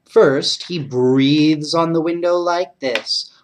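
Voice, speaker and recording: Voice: mid-range voice, takes it slowly, slight background noise, natural voice. A storyteller voicing a teasing boy who spins imaginative tales in a bedtime storybook. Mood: hushed storytelling.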